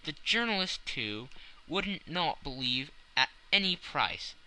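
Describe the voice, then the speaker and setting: A man's voice: fairly high pitch, sing-song, slow, pushed and bright, low-quality recording. A man narrating classic fiction as a dramatic scripted audiobook.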